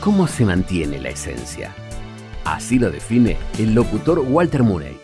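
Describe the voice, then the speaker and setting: A man's voice: lowish voice, wildly swooping pitch, unhurried, mellow. A man with an Argentine accent reading scripted documentary narration as a presenter. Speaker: podcast host narrator. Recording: music bed behind voice. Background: background music.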